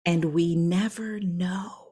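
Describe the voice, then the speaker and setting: A woman who sings opera and coaches others tells her story in an interview. She speaks slowly and in a fairly low voice, earnest and emphatic. On her key lines she drops to a whisper. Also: fairly even intonation, dark tone, breathy edge. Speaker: guest, opera singer and coach.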